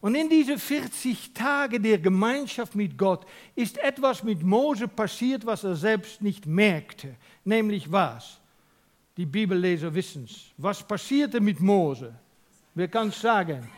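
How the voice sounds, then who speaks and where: Dutch-accented preacher with wide-ranging pitch. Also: male voice, big pitch swings, takes it slowly. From Netherlands. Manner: emphatic, asks the congregation questions; swings from loud to near whisper. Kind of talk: sermon.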